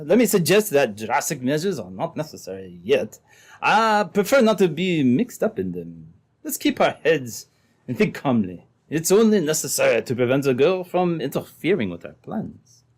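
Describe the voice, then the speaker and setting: A man playing a scheming, nervous uncle in an old-time radio drama, hesitant and placating as he reasons. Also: on the high side. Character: scheming, nervous embezzler uncle, cornered but calculating.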